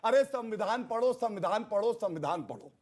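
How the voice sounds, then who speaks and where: A man's voice: high-pitched, animated, moderate pace, a little mellow. A man arguing in a heated TV debate as a panelist, combative and rhetorical, repeating himself for emphasis.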